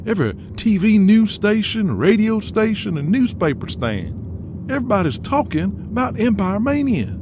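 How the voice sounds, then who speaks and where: A man voicing an excitable, emphatic male wrestling promoter in an audio drama, gushing and nervously hurried. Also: sits high, noisy background, pitched above the performer's own voice. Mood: excited. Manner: emphatic, exclamatory. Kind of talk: audio drama character acting.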